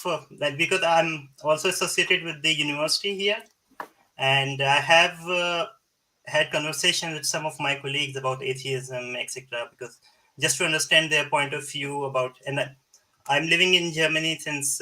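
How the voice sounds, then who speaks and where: A man's voice: a little high, fairly even intonation, normal speed, bright, forceful tone, low-quality recording. A man from Pakistan calling into a livestream, speaking politely and hesitantly with frequent fillers. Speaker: caller. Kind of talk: call-in conversation.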